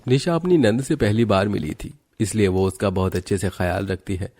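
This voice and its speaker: A man's voice: on the low side, brisk, mellow, soft background music. A man narrating a scripted cartoon family story as a storyteller.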